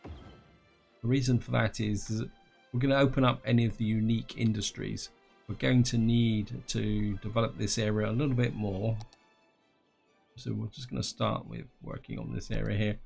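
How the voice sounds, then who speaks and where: A man with a slightly croaky throat giving casual gameplay commentary, thinking aloud and talking to his viewers. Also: fairly low pitch, flat delivery, moderate pace, darkish tone, faint music behind. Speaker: gaming channel host.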